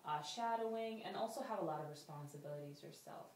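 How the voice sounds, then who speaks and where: A woman interviewing a job applicant, brisk and saying okay often. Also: deep voice, animated, a little mellow, slight background noise. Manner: brisk, many okays. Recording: echoey room from far off.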